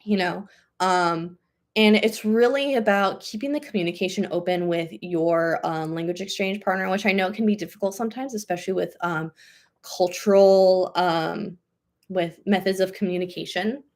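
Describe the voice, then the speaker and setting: A woman's voice: fairly low pitch, normal speed. A woman in her thirties hosting a live question-and-answer stream as a language coach, talking casually and upbeat with lots of "um" and "like". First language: English. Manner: chatty, upbeat, many fillers like "um" and "like".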